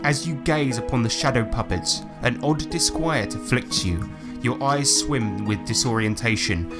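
Measured male game master over music. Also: medium pitch. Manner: measured, descriptive. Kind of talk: dramatic scripted tabletop game narration.

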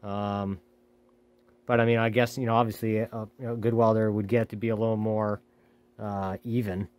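A man's voice: lowish voice, little pitch movement, normal speed, a little mellow. A man talking casually as he welds in his home garage, rambling and correcting himself often. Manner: rambling, self-correcting, offhand. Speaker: hobby welder, channel host. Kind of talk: casual workshop talk.

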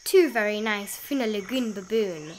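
A woman narrating a rhyming children's bedtime picture book in an expressive, sing-song read-aloud style with drawn-out emphasis. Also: mid-range voice, background noise. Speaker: storybook narrator.

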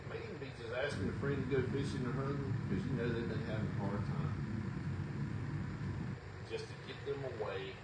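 A man's voice: mid-range voice, fairly even intonation, brisk, pauses often. Older man preaching in a roomy church. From Southern US. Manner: earnest, conversational preaching, rising to exclamations. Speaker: pastor. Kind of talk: sermon. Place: small church sanctuary, indoors. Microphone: lapel mic. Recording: roomy, distant, with loud hum.

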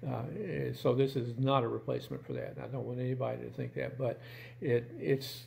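A plain-spoken man in his sixties talking casually and haltingly to camera in a room at home, as host of his channel. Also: mid-range voice, flat delivery, gravelly, darkish tone, slight background noise. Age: 60s.

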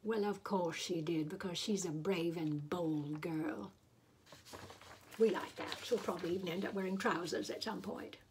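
A middle-aged woman reading a children's story aloud in a deliberate, theatrical, playful way. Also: lowish voice, darkish tone, slight background noise. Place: small room indoors.

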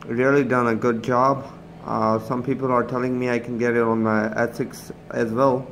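A man presents a casual gadget demonstration. His voice sits at a middle pitch. It is picked up on a phone, with faint noise around it. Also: level tone, moderate pace, a bit bright, poor audio. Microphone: handheld phone. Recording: noticeable background noise, phone-recorded.

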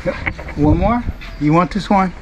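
A man's voice: high-pitched, moderate pace, dull audio. A man giving casual vlog commentary outdoors at an animal park, recorded on a handheld camera. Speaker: travel vlogger. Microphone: handheld action camera. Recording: noisy outdoor camera audio.

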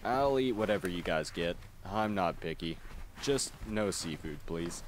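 A man acting a gentle, theatrical young goth in an ASMR roleplay, soft-spoken and wryly melodramatic. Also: sits low, unhurried, slight background noise. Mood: easygoing.

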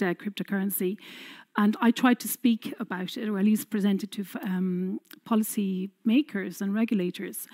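A woman's voice: mid-range voice, a little mellow. A woman with an Irish accent moderating a conference panel, upbeat and polite. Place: conference stage.

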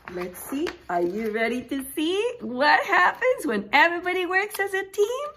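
A woman in her forties, a preschool teacher reading a picture book aloud, exclaiming and putting questions to young listeners in an animated, expressive way. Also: extremely high pitch, unhurried. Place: small indoor room with posters.

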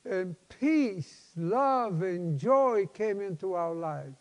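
A man in his seventies, a pastor preaching a personal testimony in an emphatic, halting, folksy way. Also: high-pitched, animated. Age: 70s. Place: large church sanctuary, at a lectern. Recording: long hall reverb.

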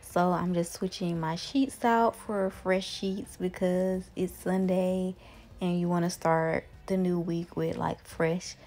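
Young woman talking over a clean-with-me vlog, kinda low voice, loose and chatty. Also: slow. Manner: relaxed, chatty, with many "like" fillers. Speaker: lifestyle vlogger. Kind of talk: casual voice-over narration.

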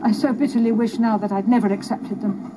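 An actress playing a bitter, regretful middle-aged mother in a radio drama, formal and deliberate, heard through a noisy radio. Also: a little high, fairly even intonation, close to an everyday voice. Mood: bitter, remorseful.

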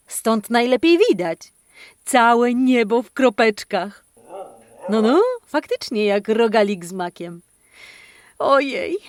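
Female children's podcast host: animated, exclamatory, playful, unhurried delivery, dry recording. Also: medium pitch, no room sound. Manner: animated, exclamatory, addresses children and dog directly. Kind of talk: playful interactive storytelling, chatting to her dog.

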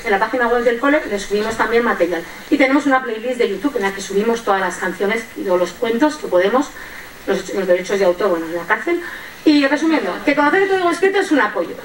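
A woman with an accent from Spain gives an informal, enthusiastic talk as a teacher at a conference. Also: high-pitched. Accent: Spain Spanish. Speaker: primary school English teacher presenting. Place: lecture room with audience, indoors. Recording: echoey room, distant, faint noise.

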